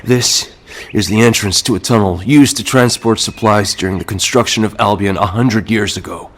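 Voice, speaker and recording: An actor playing a wounded, resolute male security captain in a video game, speaking gravely in a labored, husky voice. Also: fairly low pitch, normal speed, slight background noise, close to an everyday voice. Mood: grave, desperate. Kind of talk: video game cutscene acting.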